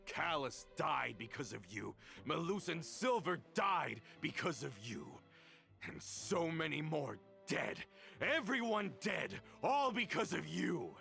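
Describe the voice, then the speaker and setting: An actor playing a bitter, vengeful middle-aged man in a game cutscene, accusing and resentful and rising to shouts, with a gravelly voice. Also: on the high side, very animated, slow pace, soft background music, full character voice. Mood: accusing, furious. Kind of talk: dubbed game voice acting.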